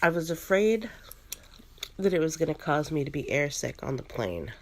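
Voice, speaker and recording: A woman chewing gum as she narrates a first-person ghost story in an ASMR style, pausing between short phrases. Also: sits low, very animated, darkish tone, a little hiss or hum. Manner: chews gum while narrating.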